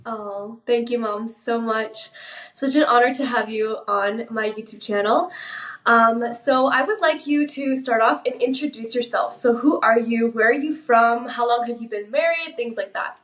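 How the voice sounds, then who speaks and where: A woman's voice: fairly high pitch, normal speed, fairly bright tone. A young woman hosting a casual chat and interviewing a guest in a gushing, enthusiastic way. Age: young adult. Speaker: host of a relationship advice show. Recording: roomy echo, distant mic, music behind.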